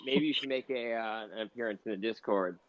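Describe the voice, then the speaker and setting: Hesitant man with a lowish, muffled voice. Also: fast talker, crowd noise. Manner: hesitant, circling phrasing with repeated false starts. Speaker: interview host. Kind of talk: casual live audio interview. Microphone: online voice call. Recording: muffled, noisy online audio chat.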